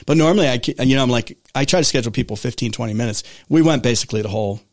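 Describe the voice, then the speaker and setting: A man from Arizona, hosting a casual political talk show, his voice going hoarse and his talk rambling with many restarts. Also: mid-range voice, racing, dry recording, clean studio sound.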